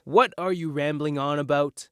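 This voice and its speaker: A man's voice: on the high side, fairly even intonation, moderate pace, fairly bright tone, smooth, dry recording, studio quality, lightly acted. A man playing a brash, impatient teenage boy who leads his group in an audio drama, blunt and incredulous.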